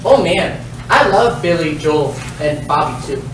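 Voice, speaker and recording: Guy playing an earnest dim middle school boy, deadpan, echoey noisy recording from far off. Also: on the high side, unhurried. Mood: eager. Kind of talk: comic staged play reading.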